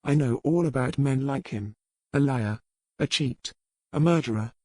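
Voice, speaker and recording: A man's voice: medium pitch, normal speed, darkish tone, no room sound, close to an everyday voice. A man playing a bitter, sullen, wounded nobleman in a historical romance audiobook, speaking formally. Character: bitter, wounded, feverish English nobleman, a wronged brother.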